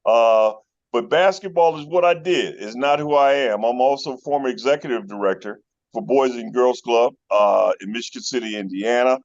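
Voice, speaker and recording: A middle-aged man from with a mid-pitched voice. He talks as a guest on a group call, friendly and rambling, with frequent fillers. The sound is a bit dull, like call audio. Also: normal speed. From Chicago North Side. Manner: friendly storyteller, many fillers. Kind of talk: casual phone call chat.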